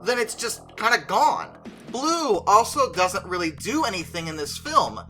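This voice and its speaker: Bright, emphatic movie review commentator. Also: mid-range voice, sing-song, unhurried, soft background music. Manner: emphatic, conversational, stretches stressed words. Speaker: video creator and host.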